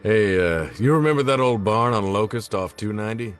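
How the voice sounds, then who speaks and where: A man playing a folksy, gruff police chief with a prankish streak at a film script table read. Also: on the low side, brisk, faint music behind, lightly acted. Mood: folksy, easygoing.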